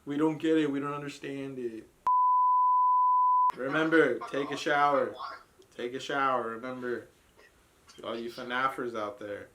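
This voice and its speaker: A man's voice: medium pitch, fairly even intonation, a little slow, long pauses, very noisy. A man co-hosting a casual group chat, joking and teasing crudely. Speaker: podcast co-host.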